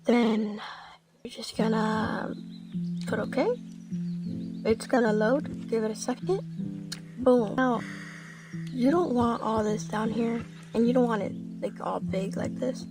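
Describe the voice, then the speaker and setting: A woman's voice: fairly high pitch, fairly even intonation, slow pace, long pauses, music in the background. A woman talking casually through a screen-recorded tutorial, one step at a time. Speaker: tutorial maker.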